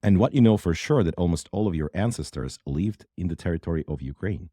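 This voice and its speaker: Very deep-voiced male podcast host. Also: expressive, a little fast, dark tone, no echo, studio quality. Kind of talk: prepared podcast introduction and questions.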